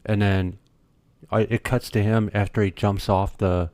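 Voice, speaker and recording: A man in his fifties co-hosting a podcast, chatting casually and telling stories in a relaxed, matter-of-fact way. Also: lowish voice, unhurried, no room sound. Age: 50s. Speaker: podcast co-host. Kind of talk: casual chat.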